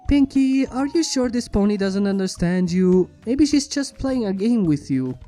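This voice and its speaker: Lady doing a bookish pony princess, earnest, formal and curious, soft mellow tone, bit of music under it. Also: mid-range voice, animated, moderate pace, close to an everyday voice. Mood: concerned, curious. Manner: precise, formal, inquisitive. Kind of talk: fan fiction character reading. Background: background music.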